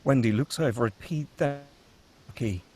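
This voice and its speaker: A man narrating the stage directions at a script table read, steady and descriptive. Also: mid-range voice, a little slow, a little hiss or hum.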